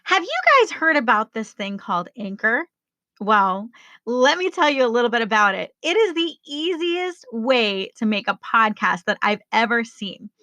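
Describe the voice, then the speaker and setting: A woman's voice: on the high side, very animated, moderate pace, sharp tone, dry recording. A woman reading a sponsor message in an enthusiastic way, talking straight to her listeners. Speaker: sponsor ad reader. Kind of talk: upbeat sponsor read.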